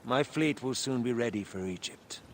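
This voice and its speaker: A man playing a proud, imperious Roman general in a video game, declaiming in a pompous, deliberate manner. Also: mid-range voice, noisy background, close to an everyday voice. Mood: imperious, suspicious. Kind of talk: video game cutscene acting.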